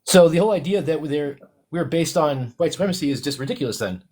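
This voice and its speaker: A man explaining his research in casual chat, halting with restarts and fillers. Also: fairly high pitch, lively intonation, a little mellow, slightly rough. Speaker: co-host researcher.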